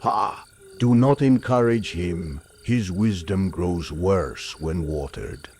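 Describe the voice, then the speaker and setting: Man voicing a deep, wry, teasing orc. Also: slow, darkish tone, a little hiss or hum. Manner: droll, teasing. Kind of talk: fantasy audio drama acting.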